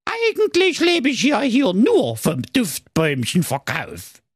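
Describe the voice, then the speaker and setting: A man's voice: extremely high pitch, huge pitch swings, slow, husky, no room sound, clean studio sound, pitched above the performer's own voice, heavily acted. A man voicing a grumpy, crafty evil male dwarf in a comic fairy tale, grumbling and sly.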